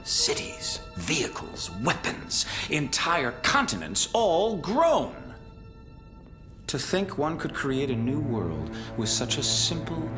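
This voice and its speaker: An actor playing a smug, grandiose villainous mastermind in a video game, speaking in a pompous, theatrical, menacing way. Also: male voice, on the high side, huge pitch swings, takes it slowly, full character voice. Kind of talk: video game cutscene acting. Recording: loud music under the voice.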